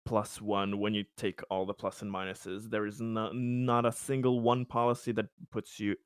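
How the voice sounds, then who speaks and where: A man's voice: on the low side, little pitch movement, normal speed, smooth, no room sound. Analytical male player, dry close sound. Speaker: tabletop game player. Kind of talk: casual game strategy discussion.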